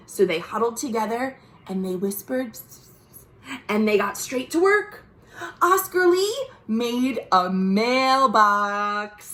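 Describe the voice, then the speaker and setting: A woman librarian reading a picture book aloud for children at home, speaking expressively and talking to the kids directly. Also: on the high side. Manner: warm, expressive, addresses children. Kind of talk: virtual storytime read-aloud.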